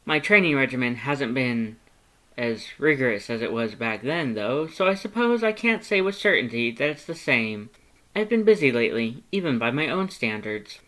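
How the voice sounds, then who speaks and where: A man's voice: medium pitch, lively intonation, normal speed, a bit bright, natural voice. A man voicing a proud, earnest cartoon skeleton in a story, with a bombastic, formal and emphatic way of talking. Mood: hesitant, boastful.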